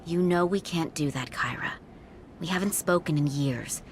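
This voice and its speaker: An actress playing a grave, fatalistic middle-aged mother in a video game, with a solemn, resigned, deadpan manner. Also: deep voice, normal speed, a little hiss or hum, close to an everyday voice. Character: grave, fatalistic mother, a seer who hears voices. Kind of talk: video game cutscene dialogue.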